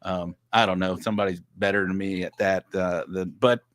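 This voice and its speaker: A man's voice: low-pitched, steady tone, fairly quick, a bit bright, no echo, clean studio sound. A man from Texas chatting casually on a livestream from his home office, rambling with lots of restarts and easy laughs. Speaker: guest podcast host.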